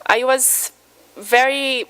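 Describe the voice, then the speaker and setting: A woman from Brazil with a Brazilian Portuguese accent, speaking English as a second language, giving a talk in a careful, halting way. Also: high-pitched, steady tone, bright, forceful tone, clear, resonant tone. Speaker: patient partner speaker.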